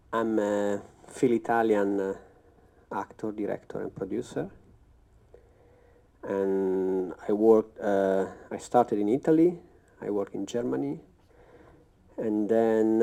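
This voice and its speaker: A man speaks very slowly on a panel, his low voice dark and gravelly. He hesitates often, searching for words as he introduces his work. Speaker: actor, director and producer.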